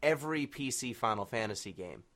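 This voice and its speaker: A man's voice: medium pitch, lively intonation, brisk, fairly bright tone. A man hosting a casual interview, with a playful, teasing way of chiming in. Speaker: podcast host, interviewer. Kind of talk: casual chat.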